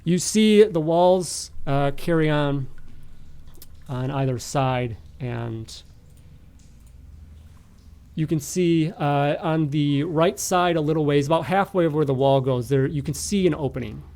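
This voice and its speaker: A man narrating as game master of a tabletop game, improvising with halting, hesitant phrasing. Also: on the high side, darkish tone, clean tone.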